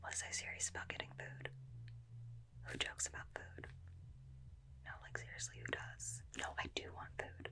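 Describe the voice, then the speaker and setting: A woman playing a bossy, teasing, protective vampire slayer in an ASMR roleplay, whispering intimately into a close binaural mic with a breathy, creaky edge. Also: lightly acted, rough recording. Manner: intimate, slow questioning, dryly teasing. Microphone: close binaural microphone.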